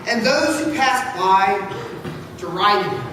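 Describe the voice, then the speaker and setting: Distant and ringing in a big, noisy church, a man reads scripture aloud as a pastor. He projects in a high voice, theatrical and deliberate. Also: lively intonation, bright, forceful tone. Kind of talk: dramatic scripture reading. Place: large church interior. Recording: echoey church hall from far off, noisy.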